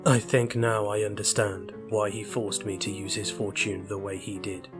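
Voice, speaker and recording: Low-voiced man narrating over music. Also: little pitch movement, normal speed. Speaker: story reader. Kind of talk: scripted short fiction narration.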